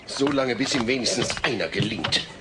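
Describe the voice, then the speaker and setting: A man's voice: mid-range voice, animated, normal speed, heavy background noise, close to an everyday voice. An actor playing an exasperated middle-aged family father in a comedy audio play.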